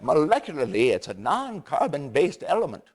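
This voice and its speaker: High, wildly swooping eccentric scientist. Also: male voice, wildly swooping pitch. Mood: earnest, rambling. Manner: halting, jargon-heavy, theatrical. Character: eccentric, excitable male scientist with grand ideas. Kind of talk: televised interview in a game cutscene.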